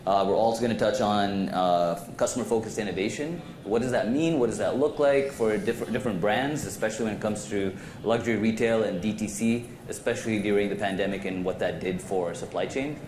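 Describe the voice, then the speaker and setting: A man's voice: on the low side, fairly quick, hardly any pauses, a little mellow. A man moderating a conference panel in a casual, loose way with many restarts. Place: conference hall stage. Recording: echoey hall, faint noise.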